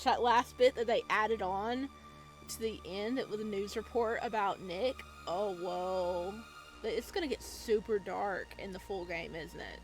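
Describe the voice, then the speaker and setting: A woman hosting a visual novel playthrough, reading the story and commenting casually. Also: mid-range voice. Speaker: game playthrough host. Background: game music.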